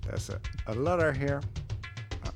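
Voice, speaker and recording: A man's voice: medium pitch, animated, a little slow, gravelly. A man giving easygoing, conversational live commentary as he plays through a game. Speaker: speedrunner playing and commentating. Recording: game music behind.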